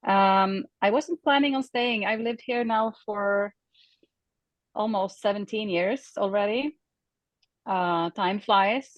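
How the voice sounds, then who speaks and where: A Swedish woman in her thirties, a native Swedish speaker, speaking English casually as a guest on a video call and often pausing to search for words. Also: medium pitch, no echo, highs cut off. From Sweden. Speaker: interview guest.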